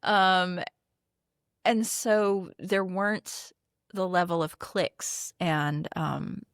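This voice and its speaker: A middle-aged woman from the Philippines, a native Tagalog speaker, talks in an earnest, conversational way, often pausing on "um" and laughing lightly. Her voice sits fairly low. The sound is close and dry, with no room echo. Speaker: guest. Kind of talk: conversational interview.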